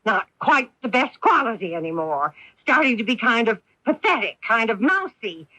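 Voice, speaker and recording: A woman playing a bitter, wounded wife and mother in a 1980s radio drama, emphatic and theatrical, with deliberate pauses. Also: on the high side, sing-song, pushed and bright, no room sound, exaggerated voice. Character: bitter, wounded wife and protective mother, faded society belle. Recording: dull lo-fi off-air radio recording.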